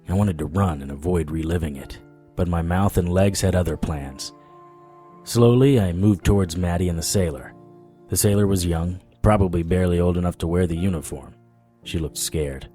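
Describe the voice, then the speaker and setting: A man's voice: low voice, dark tone. A man playing a haunted, dogged supernatural investigator, telling his story in first person in a hard-boiled audio drama style. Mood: grim, narrating.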